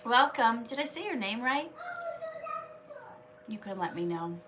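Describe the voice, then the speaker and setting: A woman's voice: on the high side, expressive, unhurried, pushed and bright, far from the mic, slight background noise. A woman hosting a casual live stream, chatting with viewers and reading their comments aloud while she has a cold and keeps coughing.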